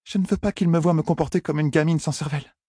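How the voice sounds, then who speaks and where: An earnest young noblewoman in love, played by a male narrator, speaking high and husky in a storybook reading. She is soft and coy, yet bold, and the sound is close and dry. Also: fairly even intonation, fast talker, dry recording. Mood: anxious, earnest.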